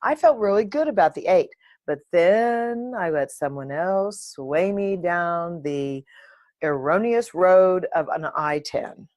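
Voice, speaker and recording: Playful, animated woman podcast host. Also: on the low side, slow pace, dry recording. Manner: playful, animated, sings and makes silly sounds; uninhibited, swears. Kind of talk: solo podcast chat.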